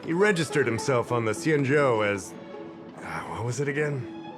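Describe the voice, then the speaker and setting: An actor playing a casual, chatty middle-aged security officer who rambles a little in a game cutscene. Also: male voice, mid-range voice, animated, moderate pace, natural voice. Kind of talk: game voice acting. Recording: game cutscene with music behind.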